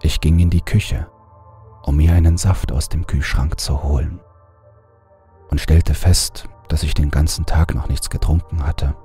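A man reading a horror story aloud in a calm, measured first-person narration. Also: very deep voice, soft background music.

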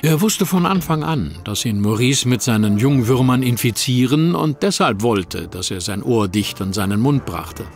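Male radio-play narrator, steady and dramatic, with faint music behind. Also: mid-range voice, moderate pace, few pauses.

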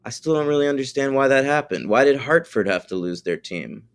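A man's voice: medium pitch, expressive, a little fast. A man co-hosting a podcast, talking casually and animatedly, joking and swearing. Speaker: podcast co-host.

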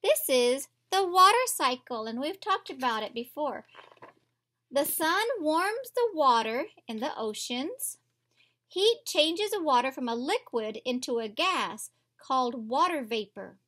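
Female primary teacher for picture-book read-alouds; high, bright voice, unhurried delivery, speaks straight to the kids. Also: big pitch swings. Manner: instructive, addressing children directly with questions. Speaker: teacher of young children. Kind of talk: read-aloud storybook lesson for young children. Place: indoors at a table, reading from a picture book.

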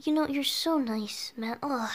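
A woman voicing a flustered, bashful boyish bard with cat ears in an ASMR roleplay, halting and stammering as the character talks to the listener. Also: sits high. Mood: embarrassed, protesting. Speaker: audio roleplay performer.

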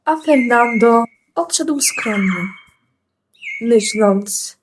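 A woman's voice: fairly high pitch, animated, extremely slow, music in the background. A woman storyteller reading rhymed narration for children.

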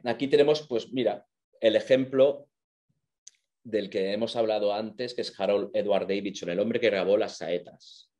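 A man's voice: fairly low pitch, darkish tone. A man with an accent from Spain, giving an informal explanatory lecture in an enthusiastic, conversational manner.

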